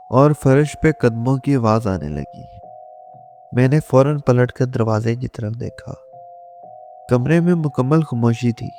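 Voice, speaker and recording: Gravelly, dark-toned male horror narrator. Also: mid-range voice. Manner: slow, suspenseful, dramatic storytelling. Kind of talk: scripted scary story narration in first person. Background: faint music.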